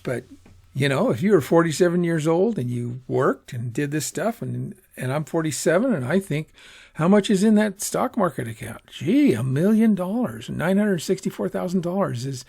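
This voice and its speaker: Guy teaching money basics, gravelly and kinda husky, chatty and rambly. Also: fairly high pitch, very animated, moderate pace, darkish tone. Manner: conversational, rambling, self-correcting, acts out quoted asides. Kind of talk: informal lecture on personal finance.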